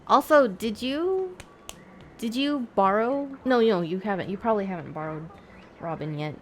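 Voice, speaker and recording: A woman's voice: medium pitch, expressive, normal speed, a little hiss or hum. A woman livestreamer chatting casually with her viewers, thinking aloud in a hesitant, rambling way. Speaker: live streamer.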